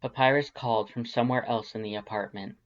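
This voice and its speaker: Man, mid-range pitch, scripted fiction narration, storyteller, slightly lo-fi recording. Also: steady tone, fast talker. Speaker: narrator of fan fiction readings.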